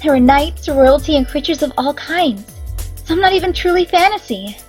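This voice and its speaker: A hoarse young woman reading a visual novel aloud, chatty with excitable asides. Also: high-pitched, normal speed, faint music behind. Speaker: game reader and narrator.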